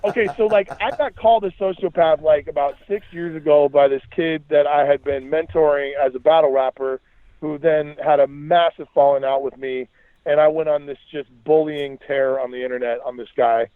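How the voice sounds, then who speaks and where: A man's voice: a little high, steady tone, moderate pace, dry recording. A man talking as a podcast guest, giving emphatic, opinionated rants in slangy conversational speech. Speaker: podcast guest, musician and writer. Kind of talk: animated ranting conversation. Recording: thin phone-like line.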